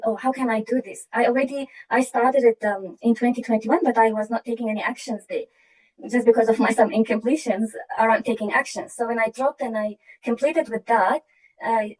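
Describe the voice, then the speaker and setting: Woman telling her story on a video call, earnest, kinda halting, sounds far from the mic. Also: fairly high pitch, darkish tone. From Iraq. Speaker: program participant sharing her story. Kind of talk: personal testimony.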